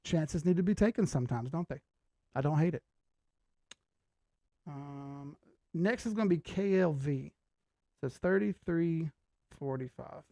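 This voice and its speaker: A man's voice: on the high side, unhurried, pauses often, mellow, dry recording. A man hosting a live stream, giving casual commentary and thinking aloud in slangy, informal language. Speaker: host of a live market analysis stream.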